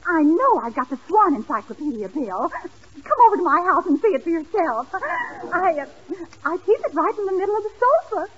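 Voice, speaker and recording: Very shrill, coy man-chaser. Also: big pitch swings, brisk, a bit bright, exaggerated voice. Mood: flirtatious, coaxing. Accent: American. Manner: coy, hesitant wheedling. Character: man-chasing, gushing, flirtatious single woman. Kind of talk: 1940s radio comedy acting. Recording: muffled, noisy vintage 1940s radio broadcast.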